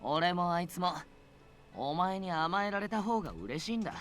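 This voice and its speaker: Earnest young japanese boyfriend, blunt n flustered, talks fast, game music under it. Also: male voice, high-pitched, big pitch swings, a bit bright. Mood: gentle, earnest. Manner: casual blunt masculine speech, rambles when flustered. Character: earnest, easily flustered young man, gruff but caring boyfriend. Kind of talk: Japanese visual novel voice acting. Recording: music behind the voice.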